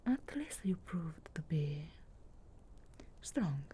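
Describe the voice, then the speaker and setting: A woman acts out a haughty young witch in a fantasy ASMR roleplay. Her voice is hushed and very breathy, mostly whispered, with a low, soft tone. She speaks extremely slowly, with deliberate pauses and musing hums, sarcastic yet grudgingly caring. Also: sing-song, full character voice. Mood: knowing, cool.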